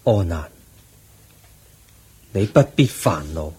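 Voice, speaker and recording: An actor playing an aged, serene Buddha in a Cantonese radio drama, with a Hong Kong accent and a solemn, measured, husky delivery. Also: male voice, low-pitched, lively intonation, mellow, heavily acted. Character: aged, serene Buddha, eighty, weary yet calm teacher.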